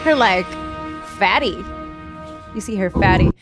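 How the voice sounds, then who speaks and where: A young woman co-hosting a casual chat about an episode, giggly and animated, with crude asides. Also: mid-range voice, unhurried, loud music behind the voice. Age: young adult. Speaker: podcast co-host.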